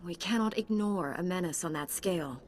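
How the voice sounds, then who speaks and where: An actress playing a calm, authoritative, fair-minded female knight in a video game. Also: fairly low pitch, normal speed, natural voice. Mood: composed, firm. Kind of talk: video game cutscene acting. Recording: faint music behind.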